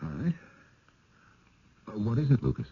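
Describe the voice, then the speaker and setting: An actor playing a firm, sceptical husband with an insistent but polite manner in a radio drama. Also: male voice, mid-range voice, sing-song, normal speed, husky. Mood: puzzled, probing. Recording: muffled, phone-like old radio recording.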